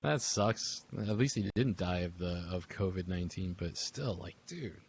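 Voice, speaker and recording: Low-voiced man reminiscing casually. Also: lively intonation, moderate pace, slightly rough, highs cut off. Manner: rambling, informal slangy talk. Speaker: podcast host and audio drama group member. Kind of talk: casual reminiscing on a group call. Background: music.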